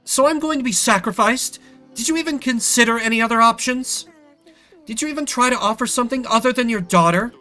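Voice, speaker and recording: Man voicing an indignant, high-pitched teenage princess. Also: brisk, sharp tone, soft background music. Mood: hurt, indignant. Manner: indignant, accusing. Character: angry, petulant teenage princess daughter.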